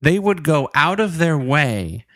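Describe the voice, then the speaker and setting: Male podcast co-host, casual and joking, bright tense tone, lively swinging pitch. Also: a little slow, no echo, studio quality. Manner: joking, laughs often, reads listener messages. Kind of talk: casual comedic podcast chat.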